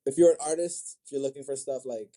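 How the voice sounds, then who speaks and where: A man from Senegal speaks with a very dark, soft-edged tone at a middle pitch. He is a relaxed, slangy guest who readily agrees and echoes his short replies. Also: fast talker. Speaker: guest, manga creator. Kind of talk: casual chat. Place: own art studio.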